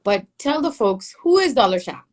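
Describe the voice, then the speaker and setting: A woman hosting an interview, asking questions in a chatty, animated way. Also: medium pitch, moderate pace. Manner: chatty and animated, laughs often, asks follow-up questions. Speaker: interview host.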